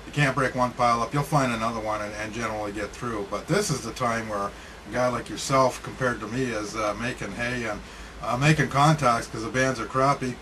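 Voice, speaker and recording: A man of average pitch talks casually as a ham radio operator. He is matter-of-fact and a bit halting as he goes. Clear noise runs through the recording.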